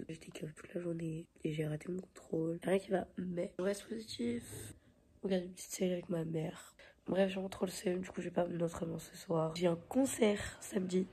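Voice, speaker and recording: Stuffy-nosed teen girl, mellow. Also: lowish voice. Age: late teens. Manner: chatty, slangy, sometimes half-whispered. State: congested with a cold. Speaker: vlogger. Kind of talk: casual diary vlog chat. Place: bedroom at home. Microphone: phone camera.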